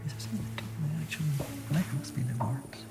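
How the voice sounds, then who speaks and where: A man from Scotland captaining a quiz team, thinking aloud haltingly with many fillers. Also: on the high side, gravelly, over loud music. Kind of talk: quiz answering under time pressure. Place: television studio.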